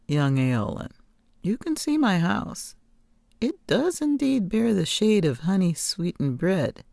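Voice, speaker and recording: A woman voicing a kindly, amused old woman of the woods in a storybook, speaking in a measured, wry, teasing way. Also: fairly low pitch, vocal fry, a little mellow, dry recording, studio quality, voice pushed up, exaggerated voice. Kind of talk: storybook character reading.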